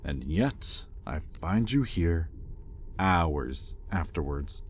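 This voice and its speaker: Male actor as sardonic demon master for intimate roleplay audio: extremely deep, unhurried, exasperated, grudgingly caring. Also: lively intonation, a little hiss or hum, full character voice. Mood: stern, troubled. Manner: sardonic, exasperated, with pointed pauses.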